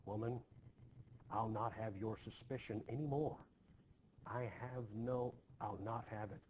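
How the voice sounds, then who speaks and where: A man playing a stern, troubled, short-tempered Puritan farmer in an expressive play reading, with forceful archaic phrasing. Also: lowish voice, unhurried, lightly acted. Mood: defensive, irritated. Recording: noisy home recording.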